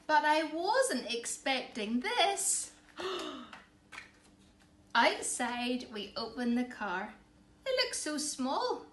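A woman reads a children's story with theatrical emphasis, taking her time over the words. Her voice is fairly high, and the room around her is echoey with faint background noise. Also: sing-song, very slow, fairly bright tone. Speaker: storyteller reading a picture book. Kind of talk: expressive read-aloud for children. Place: small living room, indoors. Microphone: camera or phone a short distance away.

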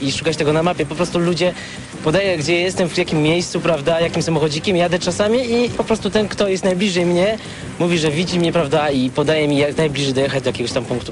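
A man chatting casually in an interview, colloquial and often tagging his sentences with a checking question. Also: on the high side. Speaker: CB radio user, interviewee.

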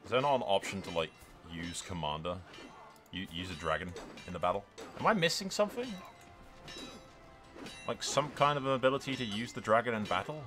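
A man's voice: lowish voice, lively intonation, fairly quick, pauses often, a bit bright. A man hosting a let's-play, commentating in a rambling, enthusiastic, casual way that slips into dramatic role-play and swearing. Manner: rambling, enthusiastic, sweary, addresses viewers as lads. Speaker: gaming YouTuber, host. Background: game music.

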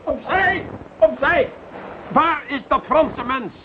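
An actor playing a blustering, impatient, bumbling policeman in a radio play, barking and stammering gruffly. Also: very high-pitched, slow. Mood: demanding, irritated. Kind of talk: Dutch radio play acting. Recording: muffled narrow-band radio recording with hiss.

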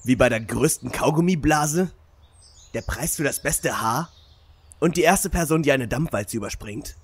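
A man dubbing an excitable, bickering young adventurer in a comedy, with a raspy, exclamatory voice. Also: medium pitch, moderate pace. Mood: grumbling. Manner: exclamatory, argumentative. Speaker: voice actor in a fan dub. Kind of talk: exaggerated comedy fan dub.

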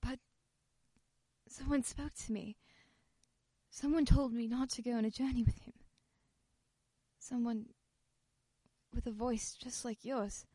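An actress as a shaken young wife in a radio drama, newly widowed. Her voice is high and soft-toned, halting and slow with bewildered fear, in a dry, close recording. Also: fairly even intonation, slightly rough, no echo, low-quality recording. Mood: disbelieving, distraught. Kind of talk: old-time radio play acting.

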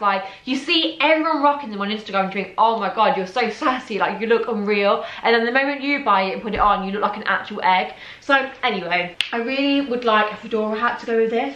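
A young woman chats to her viewers in a bright, lively tone, her voice a touch worn from hours of talking. She sounds a little higher than most women. The bedroom around her leaves a clear echo on every word. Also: muffled recording. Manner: chatty, addresses viewers as guys. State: slightly losing her voice. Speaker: fashion vlogger. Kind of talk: chatty clothing haul. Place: bedroom, seated on a bed.

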